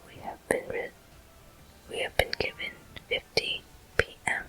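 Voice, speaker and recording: A person whispering ASMR game commentary in a deliberate manner, pausing between short phrases. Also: sharp tone. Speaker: gameplay host.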